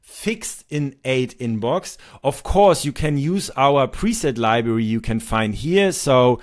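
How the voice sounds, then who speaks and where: A man in his thirties speaks English with a German accent while explaining a software tutorial on screen. His delivery is casual and unpolished, with frequent ums and restarts. His voice sits at an ordinary middle pitch. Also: lively intonation, slow. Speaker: software developer demoing his tool.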